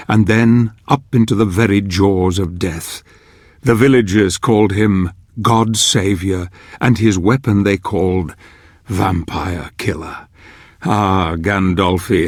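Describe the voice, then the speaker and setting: Low, solemn fantasy chronicler. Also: male voice, no echo, studio quality. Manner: measured, solemn, theatrical. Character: solemn, grave male chronicler of a hero's quest. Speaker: narrator. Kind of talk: scripted story narration in a fantasy game.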